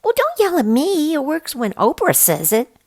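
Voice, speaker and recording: Woman voicing a goofy, cheeky boy, pitched up. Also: huge pitch swings, moderate pace, darkish tone, exaggerated voice. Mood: indignant, joking.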